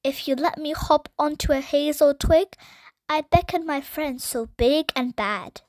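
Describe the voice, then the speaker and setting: A woman voicing a small, wily storybook mouse with a coaxing, animated way of talking. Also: high-pitched, measured pace, dry recording. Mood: urgent, coaxing. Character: small wily mouse, quick-thinking and persuasive.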